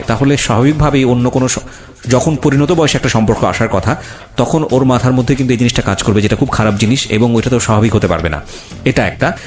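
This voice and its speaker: A man with a Bangladeshi accent hosting a talk show, giving earnest, emphatic advice to listeners and mixing in English phrases. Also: medium pitch. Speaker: radio show host. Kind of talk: conversational commentary and advice to listeners. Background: background music.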